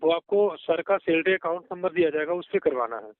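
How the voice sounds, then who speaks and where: A man's voice: a little high, expressive, a little fast, no room sound. A man talking in a phone call recording in a pushy, curt and insistent way. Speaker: caller soliciting payment for a job listing. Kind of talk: phone conversation.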